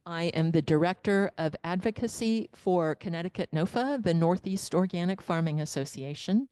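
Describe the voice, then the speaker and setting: Smooth low-voiced woman leading a workshop. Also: moderate pace, warm tone, dry recording, poor audio. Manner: organized, walks through the agenda step by step. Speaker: workshop facilitator. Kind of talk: leading a training session. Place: meeting room with people present and online. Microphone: handheld microphone.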